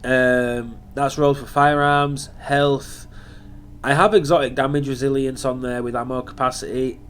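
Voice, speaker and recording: A man's voice: medium pitch, little pitch movement, normal speed. A man hosting a gaming channel, talking through a build in casual commentary, sounding nasal and sniffly from hay fever. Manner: chatty, explaining step by step.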